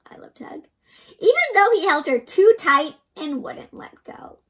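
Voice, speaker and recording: A young woman with a high, bright-eyed storytime delivery reads a picture book to children. Her pitch swings widely and expressively. She keeps pausing to put questions to her young listeners. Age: young adult. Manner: animated and expressive, often asking the listener questions. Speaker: host reading a picture book aloud to children. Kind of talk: interactive children's read-aloud.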